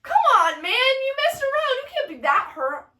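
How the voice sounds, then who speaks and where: Echoing and off-mic, a woman acts out an anxious young man in a homemade tragedy. The high voice exclaims urgently, trying to keep the peace. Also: big pitch swings, moderate pace, pushed and bright, exaggerated voice. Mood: urgent, pleading. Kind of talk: amateur retelling of a stage tragedy. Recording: echoey room, distant microphone.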